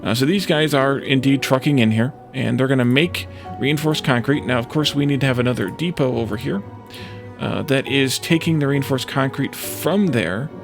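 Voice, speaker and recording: A man's voice: medium pitch, normal speed, gravelly, over music. A man giving casual let's play commentary, thinking aloud and musing to himself as he plays.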